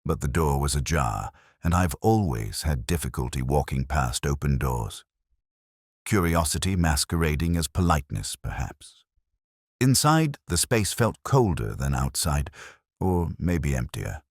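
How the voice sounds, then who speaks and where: A man with an extremely low voice narrates a detective mystery in the first person. His delivery is measured and reflective, with a literary turn, yet the pitch moves around expressively. The sound is dry and close, free of room echo. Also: sing-song, breathy edge.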